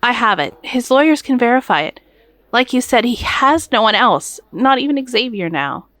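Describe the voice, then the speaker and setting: A woman's voice: on the high side, very animated, normal speed, a bit bright, dry recording. An actress playing a worried but resolute woman in an audio drama, earnest and insistent. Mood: anxious resolve. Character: worried, resolute female partner of a patient.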